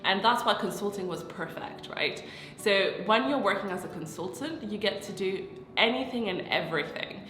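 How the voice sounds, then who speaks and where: A young woman talks in a stuffy, nasal voice, thick with a head cold, at an ordinary middle pitch. Her delivery is chatty and explanatory as she walks through her points one by one. The room rings with a long, roomy echo, and faint music plays underneath. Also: animated, moderate pace, sharp tone. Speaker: consultant and vlogger. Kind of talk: casual explainer talk to camera.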